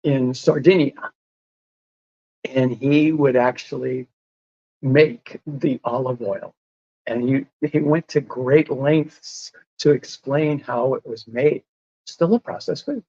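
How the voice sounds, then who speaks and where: A man's voice: mid-range voice, slow pace, dark tone, dry recording, muffled recording. A man in a casual podcast conversation, explaining whole foods in a patient way and laughing often. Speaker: lifestyle medicine advocate.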